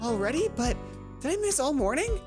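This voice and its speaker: A woman's voice: high-pitched, sing-song, brisk, music in the background, pitched above the performer's own voice. A woman voicing a stressed, hurt and defiant schoolgirl in a visual novel, with emotional, emphatic delivery. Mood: groggy.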